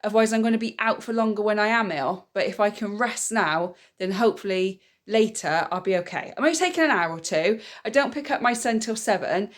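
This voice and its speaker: A woman's voice: mid-range voice, brisk, few pauses. A woman, chatting casually in a weekly vlog, a bit nasal and bunged up from a cold. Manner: chatty, rambling, self-explaining. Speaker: vlogger, single mum.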